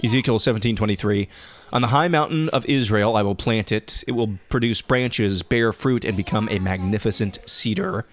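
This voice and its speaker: A man in his thirties hosting a casual podcast, answering listener questions in a relaxed way with frequent restarts, his voice going hoarse. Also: on the low side, normal speed. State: losing his voice. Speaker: podcast host.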